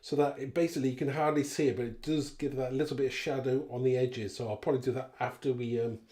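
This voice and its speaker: A man's voice: medium pitch, steady tone, quick pace, mellow. A man giving a casual live craft demonstration, talking informally and thinking aloud as he works. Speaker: card making demonstrator. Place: indoor craft room. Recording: roomy echo, clear background noise, clicks.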